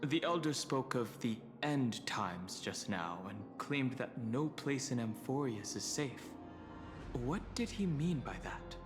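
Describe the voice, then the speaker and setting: A man's voice: mid-range voice, expressive. Analytical male traveler over music. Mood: inquiring. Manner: measured, formal, analytical. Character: thoughtful, reserved male traveler, analytical and dryly composed. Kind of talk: video game voice acting.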